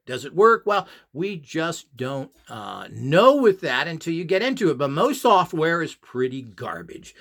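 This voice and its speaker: A man's voice: a little high, fairly bright tone. A man giving an opinionated monologue as a channel host, emphatic and scornful, often throwing out rhetorical questions. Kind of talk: opinionated monologue to camera or listeners.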